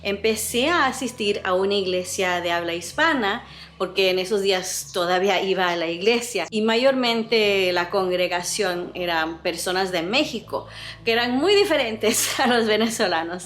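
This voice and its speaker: A woman's voice: mid-range voice, fairly bright tone. A woman in her fifties with an American accent who grew up in Latin America, sharing earnest, thoughtful reflections on her personal vlog. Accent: American, with mixed Latin American Spanish. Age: 50s. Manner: earnest, thoughtful, speaks with feeling. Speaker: vlogger, host of a frugal living channel.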